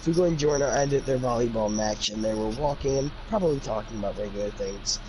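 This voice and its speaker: A man's voice: mid-range voice, fairly quick, a little mellow. A man reading a story aloud and chatting about it in casual slang, sometimes stumbling over the words, on an amateur recording. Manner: informal, youthful slang, stumbling over the text. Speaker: co-host reading fan fiction aloud. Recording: noisy amateur home recording.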